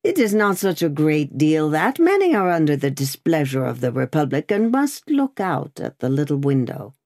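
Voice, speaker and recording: A woman's voice: sits low, big pitch swings, normal speed, no room sound, clean studio sound. A woman voicing a brusque male revolutionary gate officer who barks questions in a sneering way. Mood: mocking. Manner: loud, barking, sneering.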